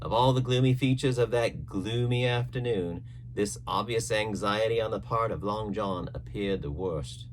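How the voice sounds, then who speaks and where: A man reading an adventure novel aloud as scripted, expressive storybook narration. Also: lowish voice, moderate pace, a little hiss or hum. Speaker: audiobook narrator.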